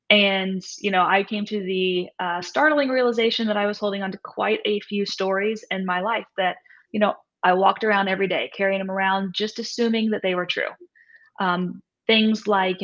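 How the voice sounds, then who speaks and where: A woman's voice: medium pitch, fairly bright tone. A woman guest speaks in a reflective, conversational way and often tags her points with "right?". The recording sounds dull and slightly lo-fi. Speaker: author and coach, guest. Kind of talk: conversational interview.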